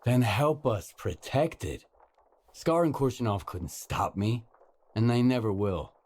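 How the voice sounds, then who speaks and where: An actor playing a steady, pragmatic stalker hero in a video game, with an understated, composed manner. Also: male voice, medium pitch, measured pace. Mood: resolute. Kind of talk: dramatic video game dialogue.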